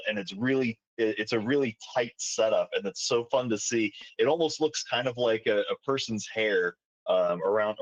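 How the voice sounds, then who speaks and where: A man giving live play-by-play commentary on a competition stream, upbeat and encouraging with quick asides. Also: on the low side, no room sound. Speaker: commentator co-host. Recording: dull dry lo-fi mic.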